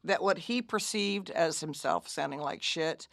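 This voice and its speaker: Woman musician chatting, bit of fry in her voice, dry sound. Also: low-pitched, unhurried, fairly bright tone, no room sound. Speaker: musician guest. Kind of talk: casual chat.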